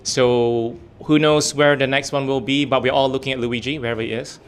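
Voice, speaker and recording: Brief: male event host with a deep voice, relaxed and chatty, laughs easily while walking a room through the agenda. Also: brisk, a little hiss or hum. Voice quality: deep voice today. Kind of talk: casual event hosting. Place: hotel meeting room.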